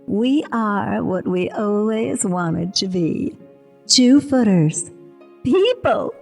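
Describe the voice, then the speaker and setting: A woman's voice: medium pitch, very animated, very slow, creaky, a little mellow, soft background music, full character voice. A woman playing a sassy pet cat turned human in an audio play, drawling jive talk like a hep cat. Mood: proud, playful.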